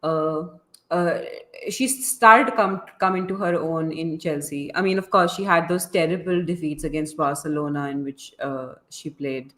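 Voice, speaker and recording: A woman's voice: low voice, fairly even intonation, moderate pace, fairly bright tone, echoey room. A woman casually commentating and analysing a live football match, chatty and opinionated, feeling her way through her thoughts. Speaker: host of a football watchalong.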